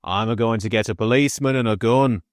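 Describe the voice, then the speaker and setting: A man voicing a wary, stubborn country manservant who speaks in rustic dialect with low, firm tones. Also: fast, no room sound, studio quality, close to an everyday voice.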